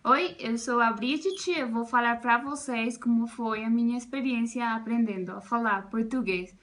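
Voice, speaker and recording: Bright-voiced woman. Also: on the high side, moderate pace. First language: Spanish. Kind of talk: casual testimonial.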